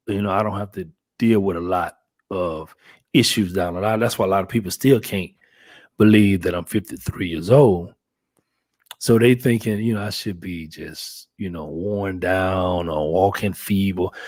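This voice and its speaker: A man's voice: lowish voice, a little mellow. A man in his fifties hosting a casual chat, rambling with many restarts and fillers. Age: 50s. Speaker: podcast host.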